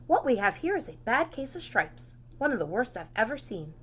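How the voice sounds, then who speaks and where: Storybook kind old woman, voiced by a female reader: gentle, cheerful, clear forward brightness. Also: a little high, big pitch swings, a little fast, voice pushed up, full character voice. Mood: bright, helpful. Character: kind plump old woman, sweet and reassuring.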